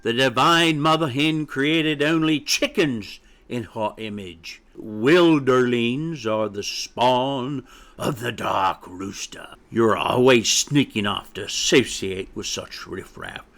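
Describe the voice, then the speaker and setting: A man's voice: medium pitch, slow, a bit bright, pitched above the performer's own voice. A man voicing a fat, haughty mother hen who scolds and squawks in pompous indignation for a storybook. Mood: disapproving, scornful. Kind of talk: animated storybook character voice.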